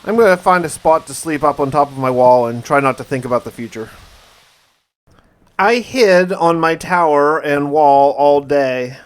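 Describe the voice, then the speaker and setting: Theatrical man with a higher-pitched voice. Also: clean tone. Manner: theatrical, emphatic, with drawn-out words. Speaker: gaming video host. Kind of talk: dramatic first-person survival diary narration of gameplay.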